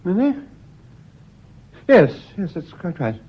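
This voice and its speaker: An actor playing a tense, defensive, controlled schoolmaster and widower in a British radio drama, speaking in a clipped, formal way with a British accent. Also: male voice, sits high, huge pitch swings, slow, muffled recording, exaggerated voice. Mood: defensive, indignant.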